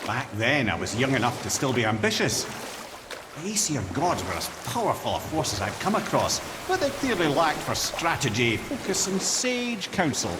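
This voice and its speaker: A witty old Scottish counselor from a video game. He tells tales with wry, eloquent flourish and a very lively, swooping pitch, with clear ambient noise behind him. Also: moderate pace. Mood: reflective, proud. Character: witty, wry old counselor, a well-travelled talking head. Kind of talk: video game character dialogue.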